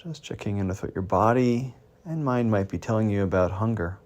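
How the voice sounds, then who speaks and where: A man leading a guided meditation as a mindfulness teacher, gently prompting with questions and pauses. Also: on the low side.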